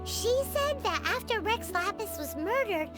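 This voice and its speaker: Tiny childlike fairy sidekick, extremely high squeaky voice, very animated, excitable and nosy, video game, loud music behind. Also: female voice, unhurried. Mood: earnest, inquisitive. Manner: speaks of self in third person, exclamatory. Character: small childlike floating fairy companion girl, excitable, nosy, chatty.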